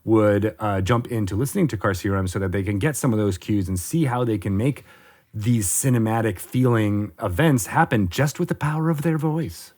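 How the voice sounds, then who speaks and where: Low-voiced man hosting a podcast. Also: normal speed, darkish tone. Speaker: podcast host. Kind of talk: casual interview hosting.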